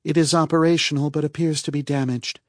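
A man voicing a calm, formal female artificial intelligence in an audiobook, speaking in a measured, precise way. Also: fairly high pitch, no room sound, studio quality, pitched above the performer's own voice, lightly acted. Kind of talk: audiobook character voice.

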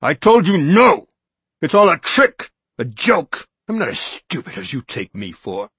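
A man voicing a panicking middle-aged male lawyer who shouts and hectors in a loud, strained voice. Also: fairly low pitch, big pitch swings, normal speed, breathy edge, dry recording, voice pushed down, full character voice. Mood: panicked. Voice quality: loud, hectoring, near screaming.

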